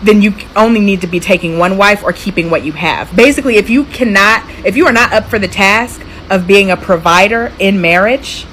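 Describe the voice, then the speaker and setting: An American woman talking casually to camera as a content creator, with an expressive, emphatic delivery. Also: medium pitch, a little fast, hardly any pauses. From United States. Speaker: social media content creator. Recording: clearly noisy clip with room ambience.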